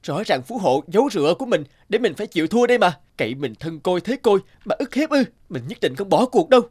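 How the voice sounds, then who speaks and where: A man voicing an earnest poor young farmer in an animated folk tale, full of exclamations and indignant questions. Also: high-pitched, quick pace, husky, dry recording, heavily acted. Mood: indignant, astonished.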